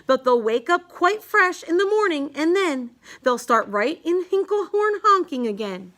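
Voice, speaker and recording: A woman reading a rhyming children's picture book aloud in a measured, singsong way. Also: extremely high pitch, sing-song, fairly bright tone. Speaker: storybook reader.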